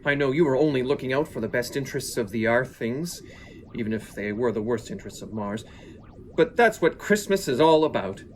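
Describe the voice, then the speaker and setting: A man's voice: mid-range voice, expressive, fairly quick, a little hiss or hum, lightly acted, rough recording. A man playing a solemn, earnest Martian leader and family man in an audio play, with a stately manner. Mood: tender, forgiving.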